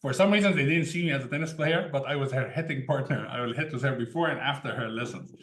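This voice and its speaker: A man's voice: mid-range voice, fast talker, a bit bright. A man from Egypt, a tech founder telling his story as an interview guest in an easygoing way, often laughing between sentences. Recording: roomy echo.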